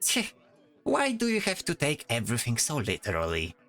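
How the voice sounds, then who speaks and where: Man playing complaining bard, fussy, extremely varied pitch, fantasy audio drama, faint music. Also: moderate pace. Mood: concerned. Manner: sighing, fussy, expressive. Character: chatty, complaining, worrying bard disguised in knight's armor.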